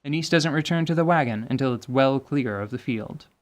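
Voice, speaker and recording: A man's voice: medium pitch, brisk. A man reading scripted dramatic narration for a fantasy tabletop story told as radio theatre.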